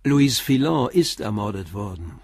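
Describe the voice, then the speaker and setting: An actor playing a sober, persistent police commissioner in a German crime radio play, questioning in a matter-of-fact way. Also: male voice, fairly low pitch, sing-song, measured pace, slightly rough, no room sound, clean studio sound, full character voice. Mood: probing. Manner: probing, matter-of-fact, insistent.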